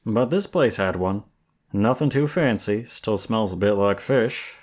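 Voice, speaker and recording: A man plays a friendly male werewolf guide with an easygoing Southern American drawl. His voice sits fairly low and moves very slowly. He is folksy and flirtatious, talking intimately to one listener as in an ASMR roleplay. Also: lively intonation, darkish tone. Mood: coaxing, playful.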